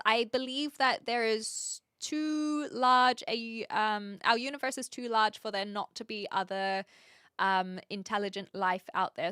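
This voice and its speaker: A woman from New Zealand with a posh Kiwi accent, chatting casually as a livestream host in an expressive, giggly way. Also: medium pitch, no room sound, studio quality. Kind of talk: casual livestream chat, answering viewer comments.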